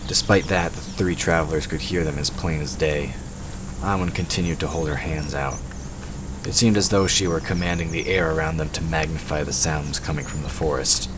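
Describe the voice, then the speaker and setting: A man's voice: extremely low pitch, fairly even intonation, normal speed, noisy background. A man reading scripted narration for a fantasy audio drama. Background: forest ambience sound effects.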